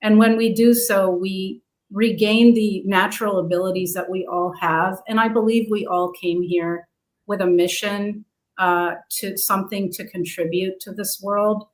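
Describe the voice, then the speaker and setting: Woman interview guest, deliberate and slow, hesitant with ums. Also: mid-range voice, fairly even intonation, rough recording. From grew up abroad in several countries. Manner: halting, many fillers. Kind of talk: interview answers.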